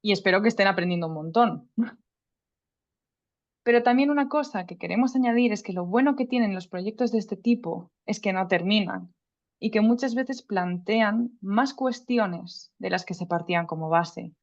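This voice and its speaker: A woman presents at an online seminar in a measured, formal reading voice and slips in the odd casual aside. Her pitch sits in an ordinary middle range. The sound is muffled and lo-fi, like audio from a video call.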